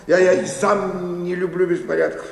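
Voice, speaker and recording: An actor playing a sour, fussy elderly bookkeeper in a radio play, grumbling and halting as he repeats himself. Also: sits high, little pitch movement, gravelly. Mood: muttering, fussy. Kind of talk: theatrical radio play acting. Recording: echoey hall, long reverb.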